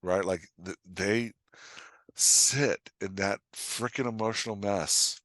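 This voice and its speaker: A man's voice: fairly low pitch, fairly even intonation, takes it slowly, a bit bright, no echo. A man chatting casually as a podcast guest and writing coach, emphatic, often repeating his phrases and acting out imagined remarks. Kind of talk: casual interview chat.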